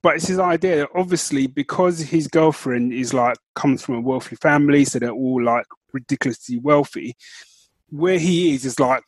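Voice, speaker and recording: A man co-hosting a podcast, chatting casually in an animated, slangy way. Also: medium pitch, moderate pace, no room sound, rough recording. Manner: animated, informal, slangy, swears freely. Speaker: podcast co-host. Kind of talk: casual chat.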